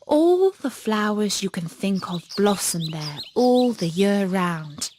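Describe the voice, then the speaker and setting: A woman narrating a children's fairy tale as an audiobook, in an expressive storytelling manner. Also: mid-range voice, slow, a little hiss or hum.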